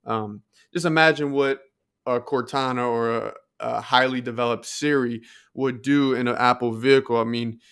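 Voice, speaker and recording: A man's voice: medium pitch, fairly bright tone, smooth. A man co-hosting a podcast, talking casually and conversationally as he shares his opinions. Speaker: podcast co-host. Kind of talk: casual tech discussion.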